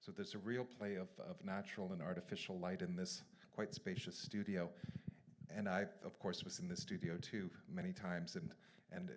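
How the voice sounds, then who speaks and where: A man's voice: deep voice, slight background noise. A man working as a curator, moderating a gallery conversation and explaining at length. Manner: discursive, explanatory. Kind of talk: moderating a public artist conversation. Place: gallery event space.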